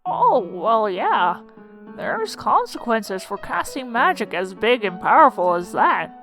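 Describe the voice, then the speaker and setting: A woman's voice: on the high side, very animated, slow, fairly bright tone, heavily acted. A woman voicing a folksy, wry old monster schoolteacher in a fan comic dub, explaining things in colloquial speech. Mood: earnest, folksy. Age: older. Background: music.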